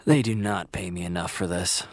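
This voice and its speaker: An actor playing a long-suffering, sardonic male friend in a comedic audio drama, deadpan and weary. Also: low-pitched, a little fast, dry recording, clean studio sound, natural voice. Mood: deadpan, exasperated.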